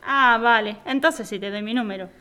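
A woman's voice: a little high, normal speed, pushed and bright. An actress playing a guarded but teasing mother and new neighbour in an audio drama. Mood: amused, teasing.

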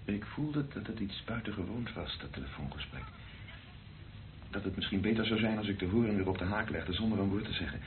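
Actor as an earnest priest with a very deep voice. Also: male voice, animated, brisk, close to an everyday voice. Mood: earnest, tender. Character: earnest, consoling priest in love with a woman. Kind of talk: radio play acting. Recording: narrow, noisy old radio recording.

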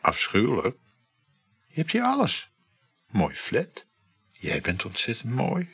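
An actor playing a vain married lover in an intimate radio play, murmuring and coaxing, often slipping into whispers. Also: male voice, medium pitch, wildly swooping pitch, slow, a bit bright, slightly rough, heavily acted. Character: vain married male lover, fishing for flattery.

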